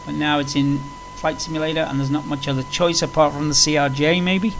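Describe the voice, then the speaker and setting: A man's voice: a little high, little pitch movement, a little fast. A man giving casual, unscripted flight simulator commentary, rambling along in an easygoing way as he plays and explains. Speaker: flight simulator video presenter. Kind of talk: casual tutorial commentary while playing. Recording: clearly noisy with background hum.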